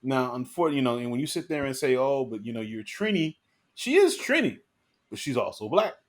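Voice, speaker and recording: A man's voice: mid-range voice, big pitch swings, fairly quick. A man co-hosting a podcast, talking earnestly in a casual discussion, often restarting and adding "you know". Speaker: podcast co-host.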